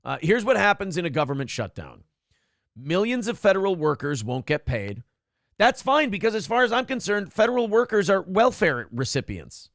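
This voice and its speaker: Gravelly brash male talk show host. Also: sits high, sing-song, normal speed, fairly bright tone, dry recording, studio quality. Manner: brash, profane, reads viewer donations aloud. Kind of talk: casual political talk show banter.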